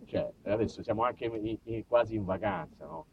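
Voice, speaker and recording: A man with a southern Italian accent performing a comic monologue, theatrical and mock-pompous, moving between muttered asides and loud outbursts. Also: fairly low pitch, moderate pace, narrow, tinny audio, slight background noise. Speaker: stage monologist. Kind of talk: comic autobiographical storytelling to a live audience.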